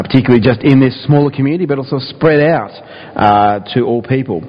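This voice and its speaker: A man preaching a sermon to his church, his voice slightly croaky, talking in a relaxed way with frequent ums and restarts. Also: mid-range voice. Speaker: pastor. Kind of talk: sermon with scripture reading. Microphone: mic and speaker system in a hall. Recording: dull sound with long hall reverb.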